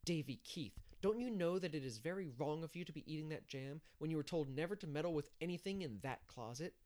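A man voicing an earnest young woman schoolteacher in a storybook, eager, proper and gently firm. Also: on the high side, sing-song, brisk, pitched above the performer's own voice.

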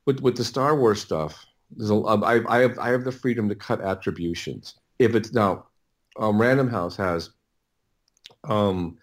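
Low-voiced man, halting and rambling. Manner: halting, rambling, repeats words and restarts often. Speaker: interview guest, audiobook producer. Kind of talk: casual conversational interview.

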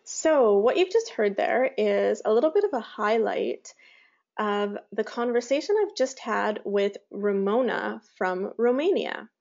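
A woman's voice: mid-range voice, expressive, a little slow, vocal fry, a little mellow, lo-fi sound. A woman speaking as a podcast host in a casual conversational interview style.

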